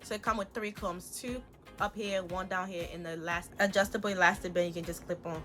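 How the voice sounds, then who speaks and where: A woman's voice: lowish voice, steady tone, fairly quick, fairly bright tone, soft background music. A woman from Liberia, chatting casually through a wig unboxing tutorial and calling her viewers sis and y'all. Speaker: beauty vlogger.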